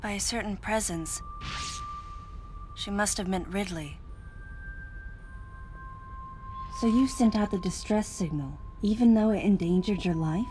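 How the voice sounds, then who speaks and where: An actress playing a female bounty hunter in a video game cutscene, narrating her thoughts in a quiet, reflective inner monologue. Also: medium pitch, normal speed, close to an everyday voice. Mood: probing. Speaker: narrator and protagonist. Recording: game audio with music underneath.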